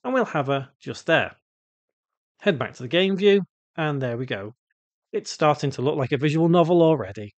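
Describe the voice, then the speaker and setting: A man hosting a software tutorial, explaining each step in an instructional voice-over. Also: fairly high pitch, expressive, moderate pace, dry recording, muffled recording. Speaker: tutorial host.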